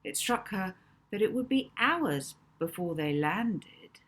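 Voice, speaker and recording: A woman reading a children's novel aloud as expressive audiobook narration. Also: medium pitch, takes it slowly, breathy edge.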